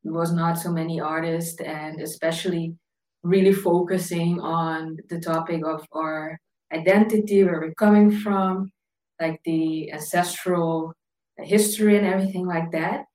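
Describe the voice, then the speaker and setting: A woman's voice: fairly low pitch, steady tone, unhurried, a little mellow, poor audio. A woman from the Dutch Caribbean with an accent from the islands, hosting a podcast in a thoughtful, chatty way with plenty of fillers. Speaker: podcast host. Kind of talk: casual podcast chat.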